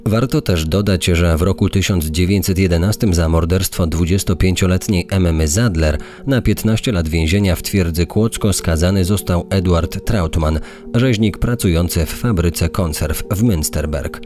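Deep, dark-voiced male narrator. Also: moderate pace, faint music behind. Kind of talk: scripted true-crime narration.

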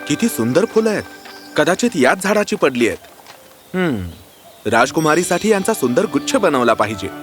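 An actor playing an earnest, charming young prince with a courteous, romantic manner in a fairy-tale cartoon. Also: male voice, on the high side, sing-song, moderate pace, over music. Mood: resolved, admiring.

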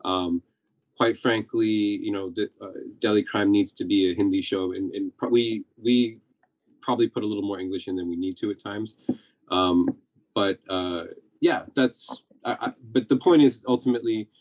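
A man's voice: fairly low pitch, moderate pace. A man in his forties, a TV director, talking casually on a video call from home, thinking aloud with restarts. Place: home room.

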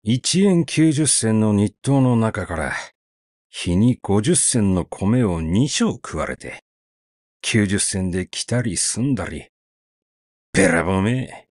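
A man reading the part of a rough, grumbling male cement laborer who talks in coarse, cursing speech. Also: fairly low pitch, lively intonation, slow pace, a little mellow, dry recording, clean studio sound.

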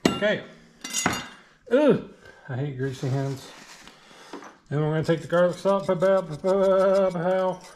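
A man's voice: high voice, measured pace, long pauses, darkish tone, slight background noise. A man in his thirties filming a casual cooking vlog in his home kitchen, joking and chatty with lots of fillers. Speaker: vlogger cooking meal prep.

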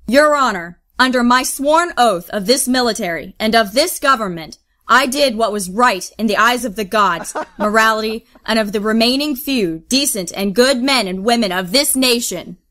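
A woman's voice: on the high side, normal speed, few pauses, bright, forceful tone. A woman playing a proud, defiant naval captain on trial in an audio drama, making impassioned formal speeches. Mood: defiant, earnest.

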